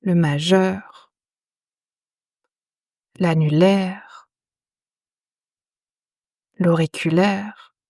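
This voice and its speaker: A woman guiding a yoga nidra meditation in a soothing, unhurried manner, leaving long pauses between phrases. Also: on the low side, steady tone, dark tone, no echo, studio quality. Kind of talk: guided meditation.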